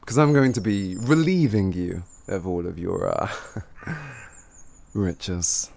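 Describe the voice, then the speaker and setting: A man playing a cocky, flirtatious highwayman who teases and gloats in an improvised fantasy roleplay spoken to the listener. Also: slow, vocal fry, a little mellow, dry recording, heavily acted. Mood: smug, teasing. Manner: teasing, gloating, chuckling, improvised hesitations.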